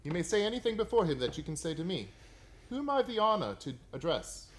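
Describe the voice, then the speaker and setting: An actor in a radio play playing a shrewd Victorian detective, brisk, precise and courteous with a superior air. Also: male voice, sits high, very animated, a little hiss or hum. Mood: genial.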